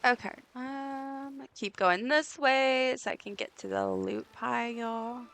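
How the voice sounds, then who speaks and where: A woman with a raspy voice chatting casually while streaming a game, rambling with lots of ums and likes. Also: on the high side, sing-song, slow. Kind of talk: casual live gameplay chat. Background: soft game music.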